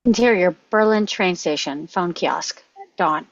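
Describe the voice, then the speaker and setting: A woman's voice: on the low side, measured pace, no echo, lo-fi sound. A woman reading screenplay stage directions aloud in clipped fragments. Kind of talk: script read-aloud.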